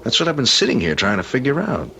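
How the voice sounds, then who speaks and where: An actor playing an uneasy, skeptical married man in an old-time radio drama, halting and questioning as he speaks. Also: medium pitch, huge pitch swings, gravelly, pushed and bright, full character voice. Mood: puzzled, brooding. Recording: dull, noisy old radio recording.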